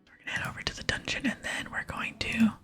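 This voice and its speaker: A person whispering softly while narrating a video game playthrough and reading its dialogue aloud in ASMR style. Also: fast talker. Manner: whispers throughout. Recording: music behind the whisper. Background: soft music.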